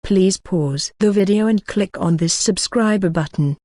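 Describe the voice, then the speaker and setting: Mellow channel announcer, dry recording. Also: medium pitch, unhurried, no echo. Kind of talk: scripted promotional announcement.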